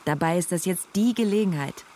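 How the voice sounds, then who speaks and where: A woman narrating a literary radio drama with deliberate, wry commentary. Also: on the low side, heavy background noise.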